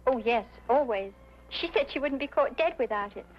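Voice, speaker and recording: A woman's voice: sits high, animated, normal speed. An actress playing an earnest, obliging young showgirl singer in an old-time detective drama, telling things dramatically. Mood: earnest recounting. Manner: earnest, dramatic, gossipy recounting. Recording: muffled old radio-era recording.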